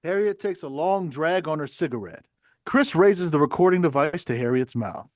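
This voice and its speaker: A man's voice: a little high, expressive, normal speed, close to an everyday voice. A man reading the stage directions as narrator in a play table read. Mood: measured.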